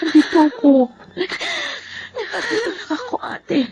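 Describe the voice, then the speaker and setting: Devoted little sister in filipino radio drama, high voice, emotional and excitable. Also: female voice, slow pace, highs cut off, a crowd in the background, full character voice. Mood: pleading, comforting. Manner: earnest, emotional, exclamatory. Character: devoted, excitable younger sister comforting her sibling.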